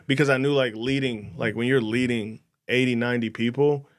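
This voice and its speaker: A man's voice: mid-range voice, fairly even intonation, a little fast. A man in his thirties, a guest on a podcast, talking casually in a confident, emphatic, motivational way. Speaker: podcast guest, mortgage banker. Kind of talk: casual interview talk.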